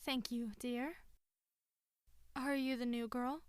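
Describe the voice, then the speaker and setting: Slow-speaking, gracious queen, smooth voice. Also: on the high side, fairly even intonation, no echo, clean studio sound. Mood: gentle, gracious. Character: gracious, gentle queen shut away in a tower. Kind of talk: audio drama dialogue.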